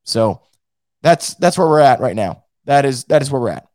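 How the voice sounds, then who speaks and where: A hoarse man who is losing his voice hosts a casual fan show and reads out the live chat, blunt and profane, with many restarts. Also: mid-range voice, animated, normal speed, darkish tone, no echo, clean studio sound. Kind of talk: casual post-game rant.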